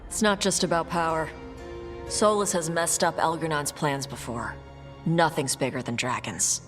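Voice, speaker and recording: A woman's voice: low voice, moderate pace, a bit bright, soft background music. A woman voicing a blunt, irreverent fighter in a fantasy video game. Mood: wary.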